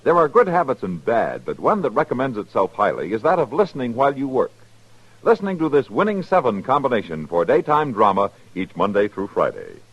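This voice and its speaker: A man's voice: medium pitch, sing-song, brisk, slightly rough. A man with an American accent reading a scripted promo as a mid-century radio announcer. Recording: dull old radio broadcast recording.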